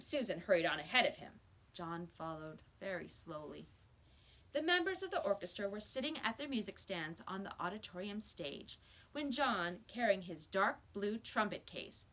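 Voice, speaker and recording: Woman reading a children's story, medium pitch. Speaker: teacher reading aloud. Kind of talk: children's storybook read-aloud. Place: small school office or library room, indoors.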